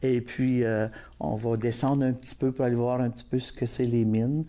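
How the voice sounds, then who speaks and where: A man from Northern Ontario, a guest on a TV talk show describing group trips in a halting way with many fillers. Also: mid-range voice, flat delivery, dark tone. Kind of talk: casual TV talk.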